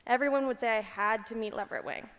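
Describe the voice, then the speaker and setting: A woman's voice: on the high side, quick pace, a bit bright. A woman reading a prepared tribute speech aloud at an awards ceremony, recorded in an echoing hall. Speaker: staffer reading remarks on another's behalf. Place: large indoor event hall. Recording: echoey hall with long reverb.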